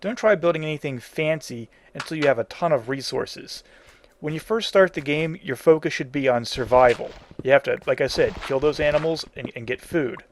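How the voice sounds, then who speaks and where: A man's voice: a little high, moderate pace. Man giving a game tutorial, noisy recording. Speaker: tutorial host. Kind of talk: casual instructional game commentary.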